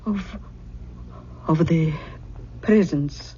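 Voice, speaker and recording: A muffled, hissy vintage radio recording. a fond older aunt with a mellow voice, fussing gently and confiding her worries. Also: sits low, drawn-out words. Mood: gently probing. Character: affectionate, concerned elderly aunt. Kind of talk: old-time radio drama acting.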